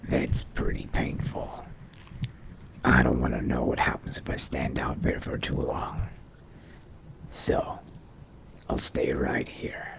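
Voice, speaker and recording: A man playing a male dark elf vampire mage in a video game roleplay, speaking in a hushed, deliberate, brooding way. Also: extremely low pitch, monotone, heavily acted. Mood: muttering, hushed. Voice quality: husky, whispery, gravelly. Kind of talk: in-character game roleplay monologue.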